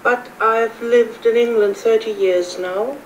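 A middle-aged woman from Germany with a German accent, speaking in a measured, friendly way in a video message. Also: fairly high pitch, fairly even intonation, dull audio. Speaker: viewer sending in a video. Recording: distant mic, faint background noise.

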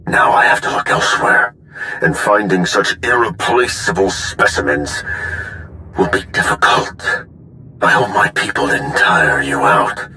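Deep, haughty, cutting villain. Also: male voice, unhurried, bright, forceful tone, a little hiss or hum, full character voice, low-quality recording. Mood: contemptuous, menacing. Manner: haughty, menacing, contemptuous. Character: arrogant, cruel male villain tinkering with droids. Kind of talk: video game dramatic acting.

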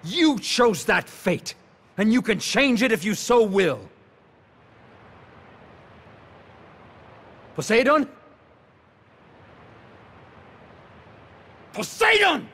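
A man's voice: high-pitched, very animated, unhurried, fairly bright tone, slightly rough, heavily acted. An actor playing a proud Trojan warrior champion, a man declaiming in dramatic game style. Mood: defiant. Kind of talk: dramatic video game acting. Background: music.

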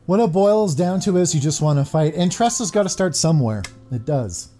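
Mellow, slightly high-voiced man gaming. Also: animated, brisk. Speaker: video game streamer. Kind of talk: casual playthrough commentary. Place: indoor room at home. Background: faint game music.

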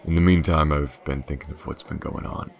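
A man playing a gruff, wary, sardonic detective in an audio roleplay, gravelly and halting in his delivery. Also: rumbling low, steady tone. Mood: wary, earnest. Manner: halting, muttering.

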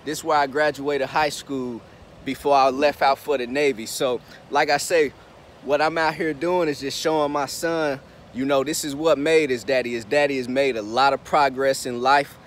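A man's voice: mid-range voice, fairly even intonation, normal speed, slight background noise. A man in his thirties from Indiana, giving an upbeat, preachy pep talk while filming himself on a phone held at arm's length, outdoors and in a truck. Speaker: vlogger and business mentor. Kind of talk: casual motivational vlog talk. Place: outdoors by schools, then inside a pickup truck. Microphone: phone camera at arm's length.

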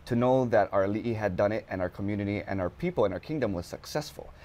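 A man's voice: on the low side, fast, darkish tone. A man, a talk show host speaking casually and expressively and mixing in words. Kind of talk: conversational interview. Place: television studio. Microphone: lapel mic.

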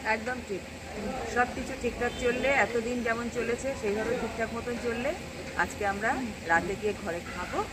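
An upbeat woman chats quickly with a traveling companion beside a busy road. Heavy street noise runs under her voice, and she is picked up on a phone held at arm's length. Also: fairly high pitch, fairly even intonation. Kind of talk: casual chat. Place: outdoors by a road.

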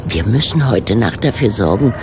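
An actor playing a patient, methodical amateur detective in a German audio drama, with measured, precise, earnest delivery. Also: male voice, fairly low pitch, expressive, noisy background, natural voice. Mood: earnest, reasoning.

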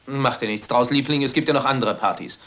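An actor playing a smooth, sarcastic, nonchalant young ship's officer in a radio play. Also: male voice, mid-range voice, brisk, a bit bright, natural voice. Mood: sardonic. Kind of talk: German radio-play acting.

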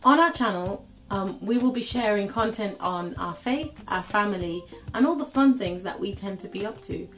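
Woman in her 30s from germany, friendly, bit of um here and there, quiet music under it. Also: medium pitch, lively intonation, moderate pace, few pauses. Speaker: channel co-host. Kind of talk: scripted channel introduction. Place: living room, on a sofa. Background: faint background music.

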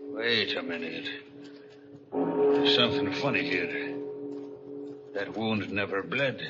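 An actor playing a matter-of-fact police officer in a 1940s radio drama. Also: male voice, on the low side, expressive, takes it slowly. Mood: grim, puzzled. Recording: muffled 1940s radio recording with loud music.

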